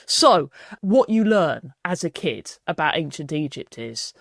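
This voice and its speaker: A woman's voice: sits low, sing-song, measured pace, vocal fry, no room sound, studio quality. A woman hosting a podcast, asking questions in a playful, jokey way. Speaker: podcast host. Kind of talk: casual podcast chat.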